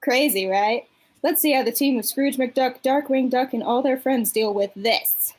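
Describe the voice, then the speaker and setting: A woman narrates a comic aloud as part of a live amateur dub. Her voice sits a bit higher than average, and she reads the captions as a storyteller. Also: normal speed, a little mellow.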